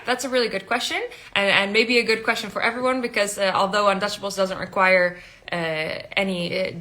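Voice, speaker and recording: A woman with an American accent tinged with Dutch, hosting an informal live Q&A and explaining things helpfully, with hesitant pauses. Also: mid-range voice, sharp tone. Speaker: recruitment agency staff hosting a live Q&A.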